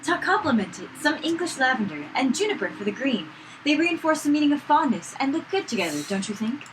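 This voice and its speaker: A woman's voice: sits high, very animated, fast, fairly bright tone. A woman voicing a kindly, refined female flower shop owner, reading for a visual novel let's play. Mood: polite, refined. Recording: noisy room recording, no proper mic.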